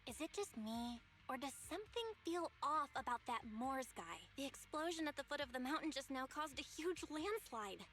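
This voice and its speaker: A woman's voice: high-pitched, lively intonation, normal speed, hardly any pauses, a bit bright, soft background music. A woman voicing a wary, alert young sidekick in a video game, with an urgent, watchful manner.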